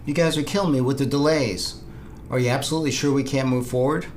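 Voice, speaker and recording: A man's voice: medium pitch, steady tone, fast talker, darkish tone, close to an everyday voice. Man playing male surgeon, pushy, frustrated, conversational, training role-play, slight background noise. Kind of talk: role-played training dialogue.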